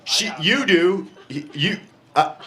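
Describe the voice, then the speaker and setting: A man doing stand-up crowd work, teasing audience members in a halting back-and-forth. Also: sits high, animated. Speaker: stand-up comedian. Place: comedy club stage with audience laughter. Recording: roomy live club, loud crowd noise.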